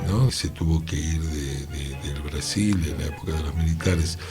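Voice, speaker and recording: A man's voice: very deep voice, moderate pace, warm tone, faint music behind. A man with a Rioplatense Argentine accent discussing ideas conversationally, emphatic and given to rhetorical tag questions.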